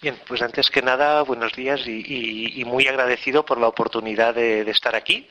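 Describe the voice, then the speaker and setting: Bright-voiced Catalan-accented professor explaining. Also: male voice, medium pitch, dull audio. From Barcelona, Spain. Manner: patient, explanatory, frequent "pues" and "eh" fillers. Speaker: phonetics professor, guest. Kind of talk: radio interview, explaining.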